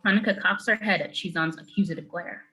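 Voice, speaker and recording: A woman's voice: on the low side, fast talker, pushed and bright, faint music behind. A woman narrator reading screenplay stage directions in clipped, matter-of-fact phrases.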